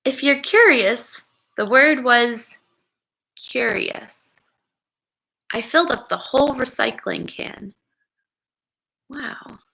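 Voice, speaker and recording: A woman reads a children's book aloud at a very unhurried pace. Her voice is bright and crisp-edged, with noticeable creak at times. She is expressive and encouraging, pausing to wonder aloud with her young listeners. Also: high-pitched, low-quality recording. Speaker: educator reading a picture book aloud to children.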